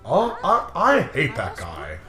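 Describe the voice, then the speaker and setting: A man's voice: a little high, huge pitch swings, slow, sharp tone. A man co-hosting a comedic let's play, reading game text aloud with mock drama and jokey, casual banter. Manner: playful, mock-dramatic, jokey, often laughing. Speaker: co-host of a visual novel let's play. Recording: roomy echo with music behind.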